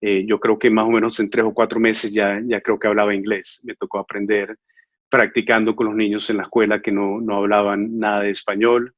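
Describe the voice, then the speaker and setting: A man from Venezuela in his thirties, an immigration lawyer answering interview questions in an earnest, measured way, with frequent filler sounds. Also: fairly low pitch, little pitch movement, dry recording, muffled recording.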